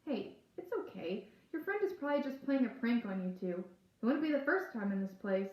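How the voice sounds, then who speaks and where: A woman's voice: a little high, big pitch swings, brisk. A woman voicing a male cemetery groundskeeper in a storybook, firm and plain but kindly. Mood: reassuring. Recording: echoey room.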